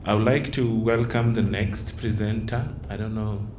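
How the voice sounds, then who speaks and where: A man moderating a conference session, introducing the next speaker in a slow, hesitant way. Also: fairly low pitch, steady tone, a little mellow, a little hiss or hum.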